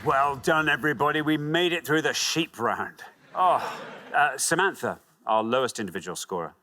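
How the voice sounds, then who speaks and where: A man's voice: lively intonation, normal speed, background noise. A man hosting a TV quiz show, upbeat and encouraging, reading out questions and scores. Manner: upbeat, encouraging, big swings of pitch. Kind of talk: lively game show hosting. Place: television studio with audience.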